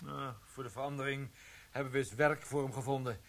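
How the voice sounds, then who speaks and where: An actor playing a cheerful, chatty police desk officer in a radio play, breezy and gossipy. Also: male voice, medium pitch, steady tone, fairly quick, a bit bright, slight background noise, natural voice. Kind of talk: broadcast radio play acting.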